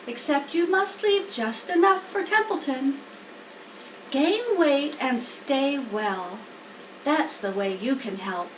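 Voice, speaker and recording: A woman voicing a wise, motherly female spider in a storybook, cool and reassuring, gently firm in manner. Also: sits high, voice pushed up, exaggerated voice. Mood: advising. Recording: roomy, distant mic, faint background noise.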